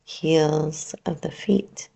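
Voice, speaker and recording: Female meditation guide, heavy vocal fry, very even intonation, soothing delivery with long pauses. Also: sits low. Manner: soothing, unhurried, gently instructing with long pauses. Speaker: yoga nidra teacher.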